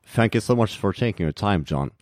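A male podcast host with a Norwegian accent speaks in a fairly low voice, recorded close and dry. He introduces and interviews in non-native English, pausing and repeating words as he goes. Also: lively intonation, a little mellow, no room sound. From Norway.

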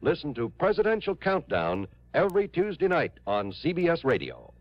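A man's voice: fairly high pitch, huge pitch swings, normal speed. An American man reading scripted announcements as a mid-century radio announcer. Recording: muffled old radio recording, faint music.

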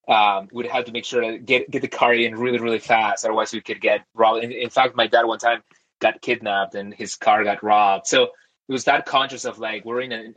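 A young man speaks as an interview guest in a fairly low voice. His talk is loose and conversational, full of fillers and quick restarts. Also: poor audio. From Argentina. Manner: relaxed, talkative, frequent fillers and restarts. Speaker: guest, tech founder.

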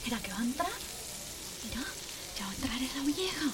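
A worn-out, nervy cook in an audio drama. Her voice is high and very animated, given to anxious muttering and cursing. Clear sizzling kitchen noise sits behind her. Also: a little slow. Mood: bewildered dread. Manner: muttering to herself, swearing, rising to panic. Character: weary, anxious kitchen cook caught in repeating time. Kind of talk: audio fiction acting.

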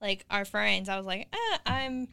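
Bright, smooth-voiced young woman. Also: mid-range voice, unhurried. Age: 20s. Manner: relaxed, chatty. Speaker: podcast guest. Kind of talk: casual chat.

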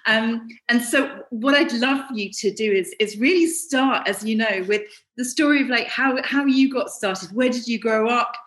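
A woman hosts an interview podcast, her voice fairly high and full of gushing enthusiasm. She stumbles over repeated words as she talks, and the recording carries a roomy echo. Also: moderate pace, fairly bright tone. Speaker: podcast host.

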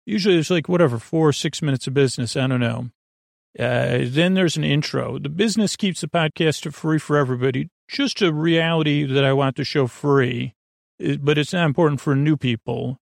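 Creaky, meandering man hosting a sleep podcast. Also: a little high, brisk, no echo, studio quality. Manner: meandering, hesitant, trailing off into tangents. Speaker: podcast host. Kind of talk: rambling sleep podcast intro, soothing monologue.

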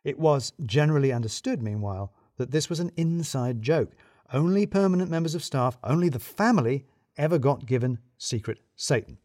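A man reading a scripted comic mystery in the first person, with wry, theatrical emphasis and deliberate pauses. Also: mid-range voice, animated, a little mellow, dry recording, studio quality. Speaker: audiobook reader narrating in first person as an office worker.

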